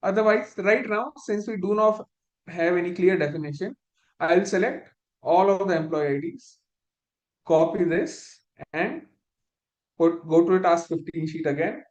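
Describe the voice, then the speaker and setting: A man's voice: sits high, unhurried, darkish tone, reverberant, dull audio. A man instructor leading a live online spreadsheet lesson, explaining step by step and often restarting his phrases.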